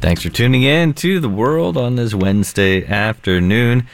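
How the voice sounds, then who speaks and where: A man's voice: mid-range voice, expressive, normal speed, vocal fry, no room sound, faint music behind. A man announcing for a local radio station, reading the weather in a friendly, easygoing way.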